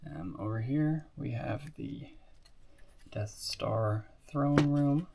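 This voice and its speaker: Sniffly man with a gravelly voice. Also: mid-range voice, takes it slowly, noisy background. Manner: rambling, with many fillers and asides. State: sick and sniffly. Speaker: hobbyist reviewing toy building sets. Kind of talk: casual show-and-tell.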